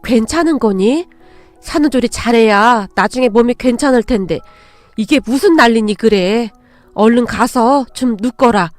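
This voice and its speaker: A woman's voice: fairly high pitch, normal speed, darkish tone, no echo, faint music behind, lightly acted. A woman voicing a protective older mother-in-law in a dramatized story, with a folksy, old-fashioned elder's way of speaking. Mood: tender, consoling. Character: fierce, protective older mother-in-law, tender with her own.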